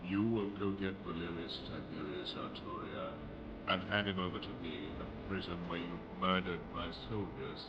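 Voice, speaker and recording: A man voicing a cold, smug elven commander in a fantasy game, taunting and deliberate in his threats. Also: sits low, lo-fi sound, very noisy, lightly acted. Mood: coldly threatening. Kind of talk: fantasy game villain monologue. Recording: loud music under the voice.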